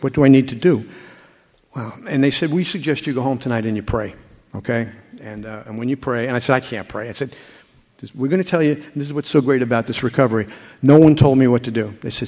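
Older man, personal testimony at a meeting, halting delivery, mid pitch, hall reverb. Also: darkish tone. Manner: halting, with frequent repeats and restarts. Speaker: speaker sharing a recovery story.

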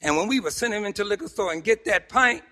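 High, bright-voiced New York man in echoey hall. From Harlem, New York City, United States. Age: middle-aged or older. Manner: animated storytelling with emphatic pauses and repeated phrases. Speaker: speaker at a recovery meeting. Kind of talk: personal testimony told to an audience with humor. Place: meeting hall, speaking to a group. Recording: echoey hall with long reverb.

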